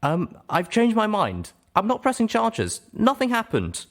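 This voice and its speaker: A young pony heroine, played by a man, earnest and easily agitated. The voice is lifted well up high for this storybook adventurer, protesting with feeling. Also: wildly swooping pitch, a little slow, a bit bright, exaggerated voice. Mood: anxious.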